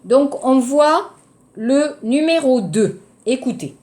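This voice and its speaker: Slow, high-voiced southern French woman teacher. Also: expressive, dark tone. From southern France. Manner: didactic, repeats key words, checks understanding. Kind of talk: recorded online language lesson.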